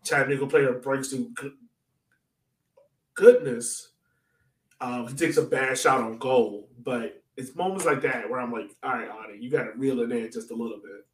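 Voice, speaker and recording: A man's voice: medium pitch, moderate pace. A man co-hosting a casual soccer fan podcast, talking informally and breaking down a match. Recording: echoey room, far from mic.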